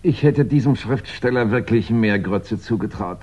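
A man's voice: on the low side, normal speed, natural voice. An actor playing a disdainful, grumbling man in a 1960s German radio crime drama. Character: disdainful man grumbling about a fugitive writer. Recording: dull, faintly noisy vintage radio recording.

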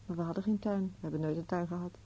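An actress playing a wistful, plaintive elderly wife in a radio play, questioning insistently and sometimes muttering. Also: female voice, on the low side, steady tone, fast, mellow, husky, poor audio. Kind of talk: Dutch-language radio play acting.

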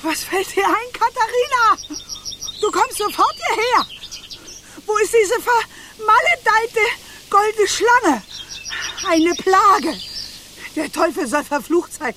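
A woman's voice: very high-pitched, animated, slow, pauses often, background noise, full character voice. An actress playing a spiteful old witch grandmother in a radio fairy tale, nagging and cursing shrilly. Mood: scolding, threatening.

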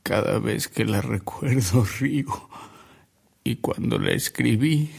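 A man's voice: medium pitch, vocal fry, darkish tone, breathy edge, exaggerated voice. An actor with a Mexican accent playing a fond, amused storyteller who savors his memories in a radio drama. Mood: amused, nostalgic. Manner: unhurried, savoring anecdotes, chuckling delivery. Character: Don Alberto, fond, amused old storyteller reminiscing about a bishop.

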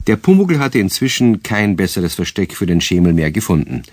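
Tiefer, rauer, lebhafter Erzähler. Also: male voice, low voice, very animated, fairly quick, a little mellow, dry recording. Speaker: narrator. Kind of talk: scripted children's story narration.

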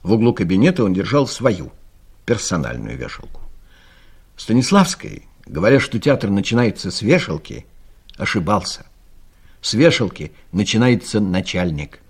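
Male storyteller for comic audio drama: raspy, lowish voice, highly animated scripted delivery. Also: normal speed. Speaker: narrator.

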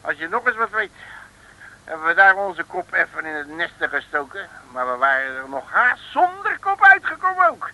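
An actor playing a brash, grumbling detective who blusters in slangy Dutch in a 1960s radio serial. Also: male voice, a little high, sing-song, moderate pace, bright, forceful tone, exaggerated voice, rough recording. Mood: indignant. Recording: old radio recording.

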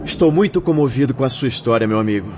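An actor playing a gentle, compassionate medium in a radio drama, soothing and earnest. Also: male voice, mid-range voice, lively intonation, fast talker, lightly acted. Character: gentle, compassionate male medium consoling a prisoner. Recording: muffled recording with background noise.

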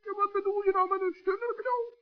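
A man voicing a small, earnest forest gnome in a 1960s children's radio play, squeaky, creaky and patiently hesitant. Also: steady tone, brisk. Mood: earnest, concerned. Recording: dull old radio recording with music.

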